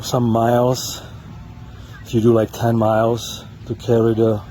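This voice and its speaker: A man with a heavy Czech accent chats casually through an outdoor product test, his voice sitting fairly low. He stops and restarts often, filling the pauses with fillers. The camera-mic recording carries clear outdoor noise behind him. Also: warm tone. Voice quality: halting, many fillers and restarts. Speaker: product tester. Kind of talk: casual vlog narration. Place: outdoors on trails and beach.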